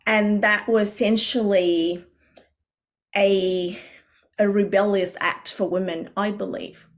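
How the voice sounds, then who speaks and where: A woman from Vietnam, guest on a podcast as a coach, talking in an earnest, reflective way with many fillers and restarts. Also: mid-range voice. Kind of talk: podcast interview.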